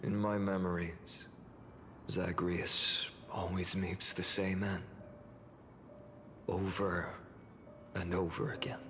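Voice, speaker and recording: Deep, slow, world-weary warrior. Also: male voice, flat delivery. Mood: weary, bitter. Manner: brooding, dramatic, slow and measured. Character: weary, grim man burdened by countless cycles of memories. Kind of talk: dramatic video game cutscene acting. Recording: loud orchestral game music behind.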